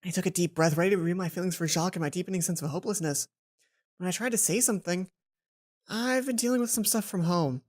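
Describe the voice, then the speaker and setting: A woman's voice: fairly low pitch, fast, a little mellow, lightly acted. A woman voicing a lovesick, self-doubting schoolgirl heroine in a game, telling her story in a dramatic, introspective way over music. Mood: hesitant. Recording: music behind the voice.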